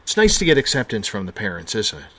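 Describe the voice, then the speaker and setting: A man's voice: lowish voice, wildly swooping pitch, fast, fairly bright tone, rough recording. A man hosting a radio talk show, chatting casually and reflectively, sharing personal anecdotes. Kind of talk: casual conversational interview.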